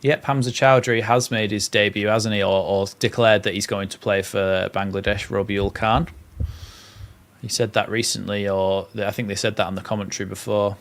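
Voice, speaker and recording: A man's voice: on the low side, fairly even intonation, normal speed, fairly bright tone. A young man with a northern English accent, calling a live football match on a watchalong stream and chatting with viewers. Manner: play-by-play calls mixed with reading out viewer comments. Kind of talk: live match commentary and chat.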